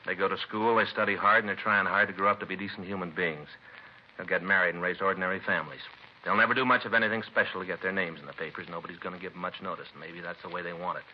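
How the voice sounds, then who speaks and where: An actor playing a stern, indignant, world-weary police detective in a clipped hard-boiled style of 1950s radio drama. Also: male voice, low-pitched, fairly even intonation, rapid-fire, natural voice. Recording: dull, noisy vintage radio recording.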